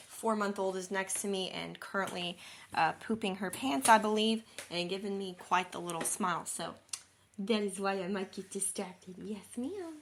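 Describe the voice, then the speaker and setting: A woman's voice: medium pitch, a bit bright, a little hiss or hum. Chatty southern-accented woman podcaster. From Missouri, US. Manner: rambling, chatty, many fillers. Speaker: podcast host. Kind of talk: casual chat.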